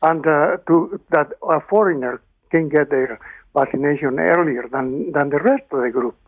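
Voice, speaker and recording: A man from Mexico calling in to a radio show, halting and indignant. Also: a little high, no room sound. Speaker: caller. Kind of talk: call-in complaint. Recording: phone line.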